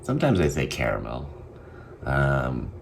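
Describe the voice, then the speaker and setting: Very deep-voiced man with a Boston accent. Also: wildly swooping pitch, gravelly, noisy background. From Massachusetts. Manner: halting, many ums, soft-spoken and candid. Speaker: vlogger. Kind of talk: casual daily video diary.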